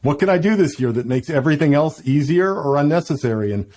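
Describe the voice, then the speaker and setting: A man's voice: on the high side, expressive, quick pace, darkish tone. A middle-aged man as a podcast guest, chatting casually with enthusiasm and many restarts. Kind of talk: casual interview chat.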